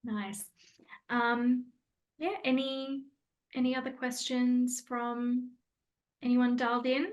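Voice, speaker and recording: A woman moderating an online session in an informal way, inviting and asking questions with hesitant pauses between phrases. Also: fairly high pitch, little pitch movement. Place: online video call.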